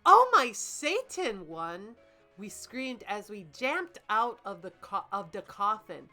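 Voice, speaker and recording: Bright-voiced woman reading aloud, unhurried. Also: medium pitch, sing-song, faint music behind. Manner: jokey, profane, halting over misspellings. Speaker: co-host reading fanfiction aloud and critiquing it. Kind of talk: casual banter and mock-dramatic reading.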